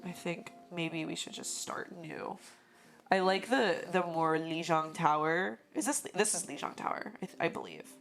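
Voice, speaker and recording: A young woman live-streaming while she models in 3D, musing slowly and chatting with viewers, her voice going hoarse. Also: lowish voice, soft background music. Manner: slow, musing, thinking aloud, greets chat. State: losing her voice.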